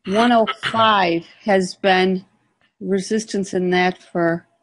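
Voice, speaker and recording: A woman's voice: medium pitch, steady tone, takes it slowly, poor audio. A woman co-hosting a stock trading show, adding brief, matter-of-fact market remarks. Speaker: co-host. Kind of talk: live market commentary.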